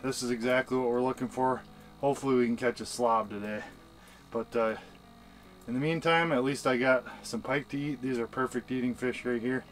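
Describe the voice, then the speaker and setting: A man vlogging his ice fishing trip in a shack on a frozen lake, excited and chatty, talking to the fish he sees on camera. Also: mid-range voice, brisk, rough recording. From Wisconsin. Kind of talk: casual outdoor fishing commentary.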